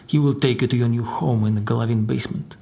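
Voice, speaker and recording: An actor as a measured, guarded male secretary. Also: mid-range voice, fairly even intonation, vocal fry, dark tone, lightly acted. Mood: grave, measured. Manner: measured, dry, deliberate storytelling. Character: formidable Russian crime boss's secretary, guarded male survivor. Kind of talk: audio drama dialogue and narration.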